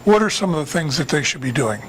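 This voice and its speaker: A man's voice: fairly high pitch, sing-song, fast. A man co-hosting an advice segment, putting prompting questions to his guest expert as interviewer. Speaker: interviewer and co-host. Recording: faint background noise.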